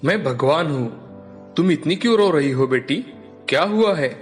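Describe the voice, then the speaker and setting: A man's voice: on the high side, expressive, unhurried, faint music behind. A man voicing a benevolent, fatherly god who speaks reassuringly in a fairy tale. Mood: gentle, reassuring.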